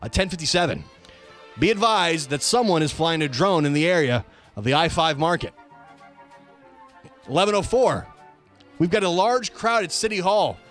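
A man's voice: fairly high pitch, big pitch swings, normal speed, long pauses, fairly bright tone. A man hosting a talk show, reading out quoted reports aloud in an emphatic, announcer-like way. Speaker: radio show host. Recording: music bed behind the voice. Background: music.

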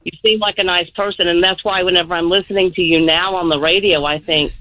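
A woman's voice: on the low side, steady tone, quick pace, pushed and bright, no room sound. A middle-aged woman phoning in to a radio show, telling a personal story in a rambling, earnest way. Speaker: radio call-in guest. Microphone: telephone. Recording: muffled phone call to radio.

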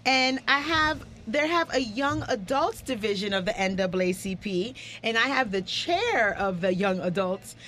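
A woman's voice: a little high, animated, moderate pace, few pauses, fairly bright tone, a little hiss or hum. A woman hosting a community talk show from a studio, chatting informally as she interviews her guests. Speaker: radio show host. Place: live radio studio.

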